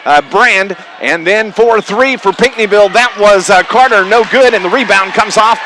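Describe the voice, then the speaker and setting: High-voiced man calling live basketball. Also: normal speed, bright, forceful tone. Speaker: radio play-by-play sports announcer. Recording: noisy live gym broadcast. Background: gymnasium ambience, bouncing basketballs.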